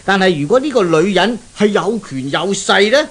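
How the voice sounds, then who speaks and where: A man's voice: high-pitched, expressive, moderate pace, a bit bright. An actor playing a genial, eager Japanese man who hosts and tells stories in a 1970s Cantonese radio drama. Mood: earnest storytelling. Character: genial, eager Japanese male host and storyteller. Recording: hissy 1970s radio recording.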